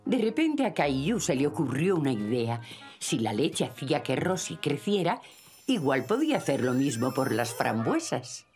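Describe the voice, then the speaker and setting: A man's voice: mid-range voice, sing-song, normal speed, darkish tone, soft background music, close to an everyday voice. A man narrating a preschool cartoon as a storyteller, in Castilian Spanish. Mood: gentle storytelling. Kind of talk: scripted children's story narration.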